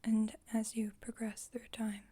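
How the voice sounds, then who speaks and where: A woman playing a calm, formal, caring vampire coven leader in a whispered ASMR roleplay, speaking in a gentle, measured, breathy whisper. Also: on the high side. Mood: calm, instructive.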